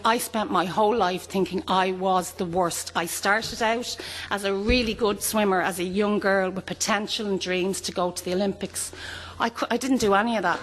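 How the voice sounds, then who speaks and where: A woman giving impassioned public testimony as a panel speaker. She speaks emphatically, with repeated phrases and rhetorical questions. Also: mid-range voice. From Ireland. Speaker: panel speaker, abuse survivor. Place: large conference hall. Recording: long hall reverb.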